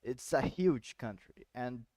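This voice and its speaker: A man's voice: mid-range voice, lively intonation, slow pace, warm tone, no echo. Man chatting loosely, dry sound. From South Brazil. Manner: loose, swearing, explains with many asides. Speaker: podcast host. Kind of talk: casual chat.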